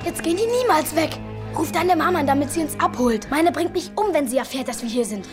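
Bossy, high-voiced schoolboy. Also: male voice, animated, quick pace, over music. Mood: exasperated. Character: boy of middle-school age, bossy, scheming, easily exasperated. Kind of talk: German audio drama acting.

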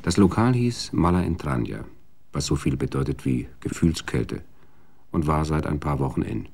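Casting: Mann als Erzähler, sehr tiefe, heisere Lage, gemessener Ton. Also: male voice, a bass voice, lively intonation, moderate pace, vocal fry, darkish tone, breathy edge. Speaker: narrator. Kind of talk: scripted radio drama narration.

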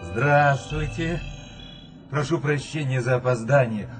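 Man acting mysterious ferryman, polite, measured, mid-range voice, radio play, music underneath. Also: mid-range voice, close to an everyday voice. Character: courteous, mysterious ferryman of the dead. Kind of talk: audio drama acting.

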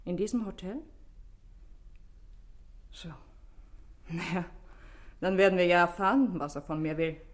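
A woman's voice: on the low side, measured pace, long pauses, natural voice. An actress playing a self-assured, evasive society woman under questioning in a German radio drama, with an ironic, haughty manner. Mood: cool, defiant.

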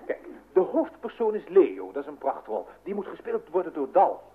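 An excitable, bashful young man in a radio play. His high voice swings widely as he hesitates and gets flustered, full of self-importance. The recording is thin and old-sounding. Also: moderate pace. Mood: eager, self-important. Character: excitable, bashful young son, aspiring playwright. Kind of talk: Dutch radio play acting. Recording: tinny band-limited old radio recording, noisy.

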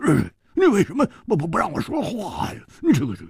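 A man voicing a brash, hot-tempered young male swordsman who stammers and whines excitedly. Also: sits high, quick pace, vocal fry, breathy edge, no room sound, clean studio sound, voice pushed up, exaggerated voice. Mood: agitated.

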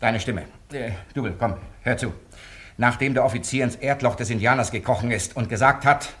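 A man acting an impatient director in a radio drama. He is bossy and sarcastic, switching between coaxing and mockery, in an ordinary mid-pitched male voice. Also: fairly quick, fairly bright tone, natural voice. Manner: commanding, sarcastic, stop-and-start coaxing. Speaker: director character in a radio play.

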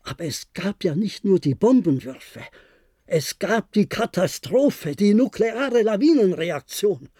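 An actor in a German radio play, playing an older, resigned former diplomat who reminisces in a measured, formal way. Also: male voice, high-pitched, lively intonation, warm tone, dry recording, clean studio sound. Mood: resigned, somber. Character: resigned, reflective former diplomat, aging bunker survivor.

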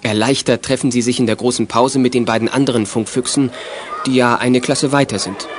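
A man's voice: mid-range voice, steady tone, brisk, noisy background, lightly acted. A man acting as the narrator of a children's radio play, reading a scripted youth detective story. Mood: measured storytelling.

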